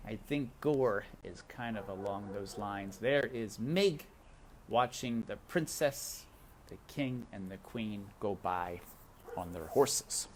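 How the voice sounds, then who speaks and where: Storyteller casting: woman, low voice, highly expressive and unhurried, reading for children outdoors in light wind. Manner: animated, addresses listeners as friends. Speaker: read-aloud storyteller. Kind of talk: expressive children's book narration with casual asides. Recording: windy outdoor ambience noise.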